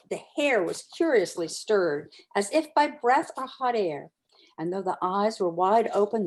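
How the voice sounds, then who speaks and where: A woman giving an expressive, deliberate dramatic reading of a classic story, pausing for emphasis as a community reader. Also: on the high side.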